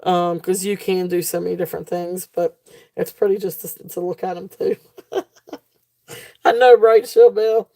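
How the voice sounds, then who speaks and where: Woman, live sale host, mellow gravelly voice going hoarse, chatty with plenty of fillers. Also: fairly low pitch, steady tone, unhurried. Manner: chatty, fillers, reads comments aloud. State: losing her voice. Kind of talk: live auction selling chat.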